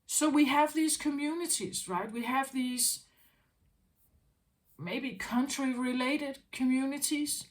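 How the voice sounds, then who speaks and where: A woman with a fairly high voice, speaking slowly in an unhurried spiritual monologue. She muses aloud, circles back over her phrases and keeps checking in with "right?". She sounds like a tarot reader talking straight to her viewers. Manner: musing, repeats phrases, asks "right?".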